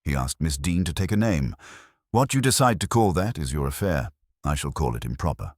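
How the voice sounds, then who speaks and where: A man voicing a brisk, indignant woman town councillor with a crisp, formal, self-assured manner in a storybook mystery. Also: extremely low pitch, very animated, a little mellow, dry recording, studio quality, full character voice.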